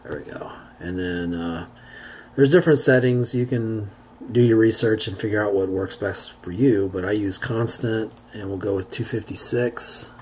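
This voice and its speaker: A man giving an unscripted how-to software demonstration, thinking aloud in a halting way as he works. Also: on the low side. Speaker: tutorial presenter. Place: indoors, beside a computer. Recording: homemade recording with background noise.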